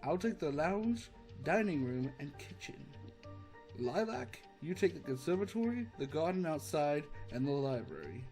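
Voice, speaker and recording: A man's voice: a little high, big pitch swings, normal speed, a little mellow, soft background music. A man voicing an organized, take-charge cartoon pony detective with a polite, commanding manner. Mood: brisk, organizing.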